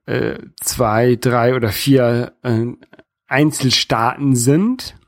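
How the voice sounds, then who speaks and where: A man from northern Germany with a light North German accent, talking casually about opinions, haltingly and often repeating words as he searches for the next. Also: medium pitch, fairly even intonation, vocal fry, no room sound. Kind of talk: casual political discussion.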